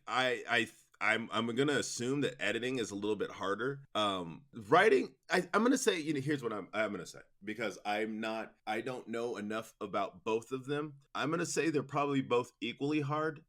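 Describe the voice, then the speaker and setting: A man's voice: medium pitch, expressive, normal speed, sharp tone. A man being interviewed about his audio drama work, answering casually with many fillers and restarts. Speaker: guest, audio drama producer and director.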